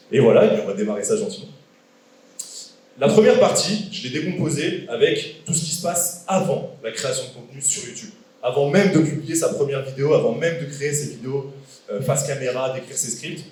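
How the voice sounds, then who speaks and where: A man's voice: mid-range voice, a little mellow. A man in his late twenties giving a casual, joking live talk to an event audience, quizzing the crowd as he goes. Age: 20s. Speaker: guest speaker. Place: large event hall with an audience. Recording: echoey hall from far off.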